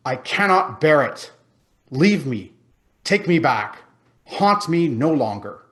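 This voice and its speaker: A man's voice: a little high, big pitch swings, slow pace, a bit bright, heavily acted. A man voicing an agitated, defensive old miser in a storybook reading, emphatic and insistent. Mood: anguished pleading.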